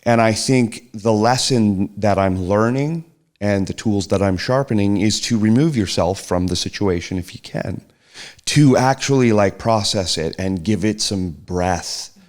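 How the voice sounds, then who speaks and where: A man's voice: on the low side, measured pace. A middle-aged man in a casual podcast chat, speaking hesitantly with frequent restarts. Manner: hesitant, restarts and trails off, soft-spoken reflection. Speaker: podcast guest, host's partner.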